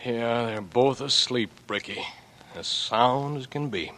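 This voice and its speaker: A man's voice: mid-range voice, takes it slowly, fairly bright tone. A young American man acting a devoted, gallant boy next door in a 1940s radio drama, tender and reassuring. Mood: calm, reassuring.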